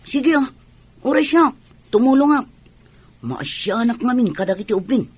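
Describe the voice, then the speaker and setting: Radio drama role: friendly, forward village woman played by an actress, high-pitched and a bit husky, Ilocano accent. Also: animated, moderate pace, no room sound. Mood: earnest.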